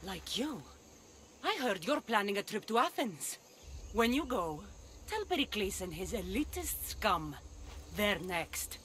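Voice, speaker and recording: A woman's voice: medium pitch, very animated, measured pace, fairly bright tone, slight background noise. A woman playing a fierce young demigod cult warrior in a video game, contemptuous and threatening as she talks. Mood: mocking contempt. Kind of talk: video game dramatic acting.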